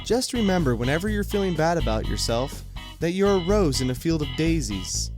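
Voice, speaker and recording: Male, slightly high and very animated, mock-inspirational comedy delivery with guitar behind. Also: fairly quick. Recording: guitar music under the voice. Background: plucked guitar music.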